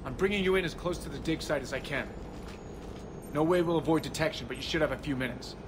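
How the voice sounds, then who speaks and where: A man's voice: a little high, fast, noisy background, close to an everyday voice. A man voicing a male starship pilot who speaks matter-of-factly over the radio in a game. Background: game music.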